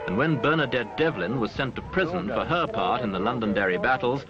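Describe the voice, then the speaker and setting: A man reading scripted documentary newsreel narration in a formal, measured way with dramatic phrasing. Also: medium pitch, animated, muffled recording, music in the background. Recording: noisy, band-limited archival newsreel soundtrack.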